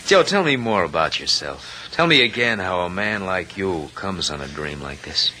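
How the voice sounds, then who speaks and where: Casting: slick, insistent young con artist, piercingly bright low voice, vintage radio drama. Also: male voice, sing-song, a little slow, full character voice. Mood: gloating, scheming. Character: eager, scheming young college-educated swindler. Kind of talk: 1940s-50s radio drama acting. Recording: noisy vintage radio recording.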